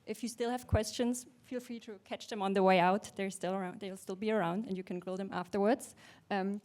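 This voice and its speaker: A woman moderator running the question session at a conference talk, brisk and upbeat. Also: mid-range voice, steady tone, a little mellow.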